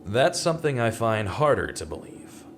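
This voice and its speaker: A man acting a animated, skeptical male sleuth. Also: fairly low pitch, slight background noise. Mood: skeptical, accusing. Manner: questioning, dramatic, deliberate. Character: skeptical, dogged male amateur investigator, brooding and puzzled. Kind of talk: vintage radio mystery acting and narration. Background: music.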